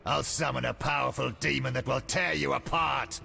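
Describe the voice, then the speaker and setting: An actor playing an arrogant evil mage in a fantasy game, theatrical and threatening, with a gravelly voice. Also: male voice, medium pitch, fairly even intonation, moderate pace, sharp tone, soft background music. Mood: menacing.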